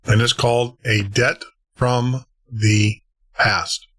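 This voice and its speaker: A man's voice: medium pitch, level tone, slow pace, a bit bright. A male podcast host talking casually, apologetic and chatty, laughing now and then. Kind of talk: casual chat.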